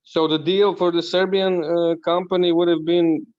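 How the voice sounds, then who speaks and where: A man's voice: high voice, level tone, normal speed. A man with a Croatian accent asking casual questions on an online call, with slightly muffled sound. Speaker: audience member asking questions.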